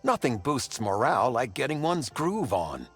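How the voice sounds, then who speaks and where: A man playing a self-important villager in a video game, formal and deadpan. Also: medium pitch, moderate pace, faint music behind. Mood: pompous. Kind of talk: video game cutscene acting.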